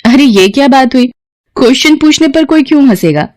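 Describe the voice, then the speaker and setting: A woman acts out a female professor in her thirties from an audio story. She questions and chides like a strict but caring teacher. Her voice is raised in pitch and recorded dry. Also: lively intonation, a little fast, a little mellow, no room sound. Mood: questioning. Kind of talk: acted story dialogue.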